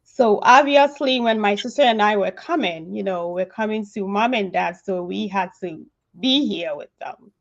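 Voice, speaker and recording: A woman's voice: mid-range voice, normal speed, gravelly, hardly any pauses, lo-fi sound. A woman in her thirties with a Ghanaian accent, telling her own story casually as an interview guest, repeating words for emphasis. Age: 30s.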